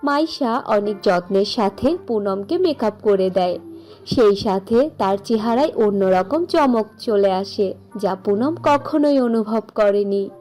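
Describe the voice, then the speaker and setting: A woman's voice: mid-range voice, lively intonation, slow, warm tone, soft background music. A woman narrator reading a scripted fairy tale for a cartoon.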